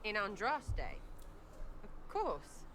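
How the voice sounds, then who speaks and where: An actress voicing an irreverent young archer in a video game, blunt, skeptical and slangy, with a British accent. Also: female voice, mid-range voice, sing-song, extremely slow, fairly bright tone, reverberant, a little hiss or hum, heavily acted. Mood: defiant, uneasy. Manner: cheeky, slangy, choppy phrasing. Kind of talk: video game character dialogue.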